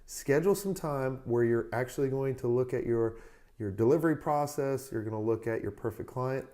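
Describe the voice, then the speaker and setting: Hoarse man giving upbeat business advice. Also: medium pitch, warm tone. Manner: upbeat motivational coaching, talks straight to listeners. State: hoarse, recovering from a lost voice. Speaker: podcast host. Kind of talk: solo advice podcast.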